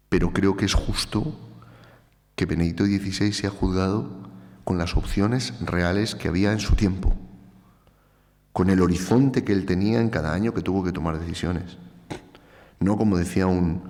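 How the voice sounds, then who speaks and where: A man's voice: deep voice, darkish tone. A man from Spain with a Spanish accent giving an earnest, emphatic public lecture. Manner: earnest, emphatic, repeats phrases for stress. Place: large lecture hall. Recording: reverberant hall.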